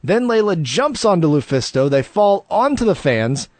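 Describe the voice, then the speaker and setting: High, animated man on a podcast. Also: normal speed, dry recording. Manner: enthusiastic, laughs easily, jokey. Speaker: podcast co-host. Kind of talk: casual wrestling review chat.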